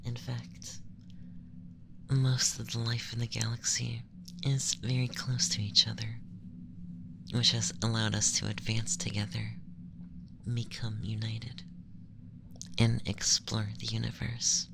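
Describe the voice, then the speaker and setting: An ASMR performer voicing a calm, condescending young male alien abductor in a breathy, often whispered, gentle and formal monologue. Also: medium pitch, slow, pauses often, background noise. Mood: solemn, explanatory. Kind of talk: ASMR roleplay, scripted monologue.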